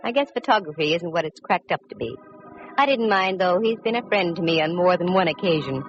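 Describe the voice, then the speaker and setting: A woman's voice: fairly low pitch, animated, a little fast, close to an everyday voice. An actress playing a witty, self-assured woman private detective in a wry, sardonic 1940s radio drama style. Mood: wry narrating. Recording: dull vintage radio recording with music.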